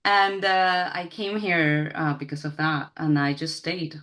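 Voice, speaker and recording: A woman's voice: low-pitched, fairly even intonation, measured pace, a bit bright. A woman chatting casually as an interview guest, rambling and self-deprecating. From Spain.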